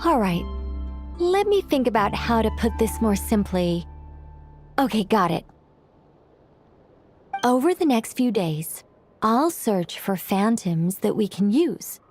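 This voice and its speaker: A woman's voice: mid-range voice, very animated, takes it slowly, long pauses, a little mellow, faint music behind. An actress playing an eccentric, absent-minded female mage in a video game, explaining at length in a scholarly, wordy manner. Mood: earnest explaining. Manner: long-winded explaining, flustered when interrupted.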